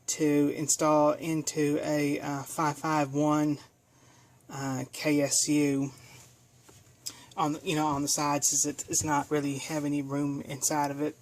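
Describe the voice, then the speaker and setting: Halting presenter doing a casual demo. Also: medium pitch, fairly even intonation. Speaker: video presenter demonstrating equipment. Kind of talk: casual hands-on product demonstration.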